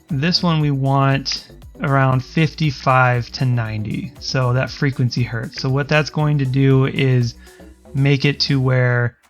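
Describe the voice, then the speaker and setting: Guy with a higher pitched voice rambling thru a streaming audio tutorial, lots of ums, soft music going behind him. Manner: rambling, loose, many ums and restarts. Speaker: streamer explaining audio settings. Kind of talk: casual screen-recorded tutorial.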